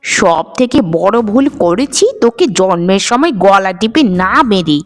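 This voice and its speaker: A woman's voice: medium pitch, lively intonation, a little slow, soft background music, lightly acted. A woman voicing a harsh, domineering middle-aged village mother in a cartoon, with a scolding, disdainful manner. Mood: cold, cruel. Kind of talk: cartoon voice acting.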